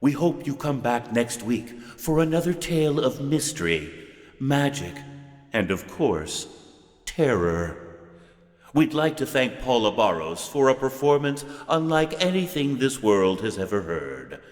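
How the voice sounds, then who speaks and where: A male horror show host speaks in a theatrical, scripted style. His delivery is slow and swooping, at a medium pitch. The recording is soaked in echo with a long reverb tail. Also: very animated. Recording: echoey, long cavernous reverb.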